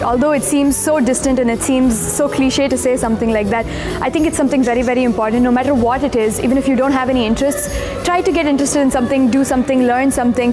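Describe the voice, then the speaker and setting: A teenage girl with a husky voice, speaking earnestly in a documentary interview about her singing. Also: fairly high pitch. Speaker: young singer interviewee. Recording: music bed under the voice.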